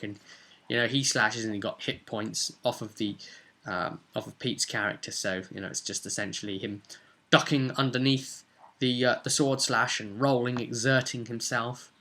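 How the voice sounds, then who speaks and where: A man giving a casual, unscripted recap of a tabletop role-play session as game master, telling the story in a rambling, halting way. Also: medium pitch, bright, forceful tone.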